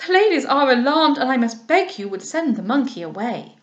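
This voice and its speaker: A man's voice: very high voice, sing-song, fairly quick, a bit bright, muffled recording, heavily acted. A woman reader voicing a courteous young lord who speaks firmly and politely.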